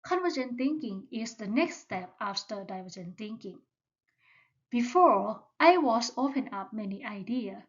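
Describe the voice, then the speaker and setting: Slow, careful woman presenting. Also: on the high side, animated, breathy edge, muffled recording. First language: Thai. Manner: slow, careful, step-by-step delivery. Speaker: student presenter.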